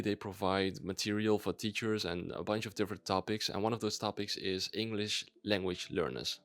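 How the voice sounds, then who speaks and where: A man's voice: low-pitched, a bit bright, no room sound. A young Dutch man, a native Dutch speaker, talking in a chatty, enthusiastic explainer vlog with ums and restarts. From Netherlands. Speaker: YouTuber.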